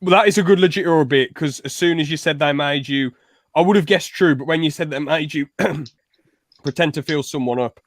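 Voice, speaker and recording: A young podcast host with a slightly high voice, talking bluntly and playfully arguing with his guests. His voice sounds close and dry over the video call. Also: a little fast, no room sound. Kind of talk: casual chat on a video call.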